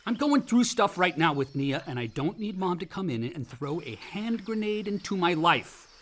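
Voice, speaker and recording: A man's voice: sits high, sing-song, normal speed, fairly bright tone, full character voice. A man acting a bitter, hot-tempered young adult son in a naturalistic radio play, blunt and sarcastic. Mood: bitter, agitated.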